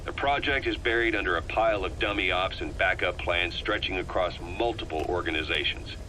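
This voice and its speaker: An actor playing a shrewd, grave male spy in a video game, giving a recorded briefing in a measured, ominous, deliberate way. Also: low voice, pushed and bright. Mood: serious, matter-of-fact. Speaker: intelligence informant. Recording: noisy audio cassette tape playback.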